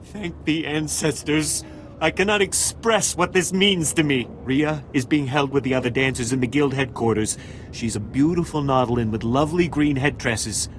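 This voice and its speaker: A man's voice: medium pitch, moderate pace, a little hiss or hum, close to an everyday voice. A man playing an aggrieved, impassioned alien citizen in a video game, with formal wording. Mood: grateful. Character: desperate, indignant male alien pleading for his mate. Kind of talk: video game dialogue acting.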